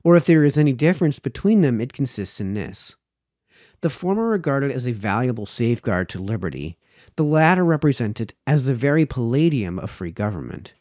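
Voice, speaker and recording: A man in his thirties reading an old essay aloud in a careful, measured way, stumbling now and then, close to the microphone. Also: mid-range voice, big pitch swings, darkish tone, dry recording. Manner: careful, measured, stumbles over words and rereads them. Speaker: reader, host of a read-aloud series. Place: small indoor home study. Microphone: close microphone on a boom arm.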